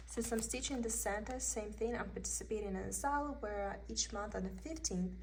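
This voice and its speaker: A woman's voice: mid-range voice, a little fast, darkish tone, slight background noise. Chatty woman with a Russian accent. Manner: chatty, meandering, thinks aloud. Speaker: cross-stitch vlogger. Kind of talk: casual show-and-tell haul. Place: indoors at a table.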